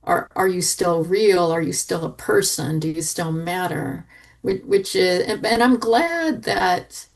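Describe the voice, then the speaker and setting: Lowish-voiced woman, distant from the mic. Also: vocal fry. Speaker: podcast panelist. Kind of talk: casual, reflective discussion.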